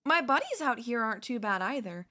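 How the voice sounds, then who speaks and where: A woman's voice: a little high, big pitch swings, brisk, pushed and bright. Woman playing a chatty, coaxing zombie girl. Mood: coaxing, reasonable. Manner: conversational, coaxing, wheedling. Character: chatty, cheerfully persuasive female zombie craving human flesh. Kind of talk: comedic audio roleplay acting.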